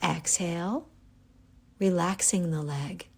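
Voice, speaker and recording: Woman, low-pitched, gravelly edge, meditation guide, gentle instructions, long pauses. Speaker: yoga nidra guide.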